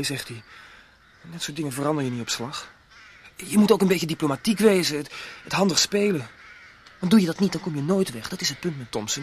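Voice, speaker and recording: A fairly high male voice , an actor's in a radio play, young and on the defensive. He hedges, hesitates and stalls, with clear noise and bird sounds behind him. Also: lightly acted. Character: evasive, defensive young village man, loyal to his employer.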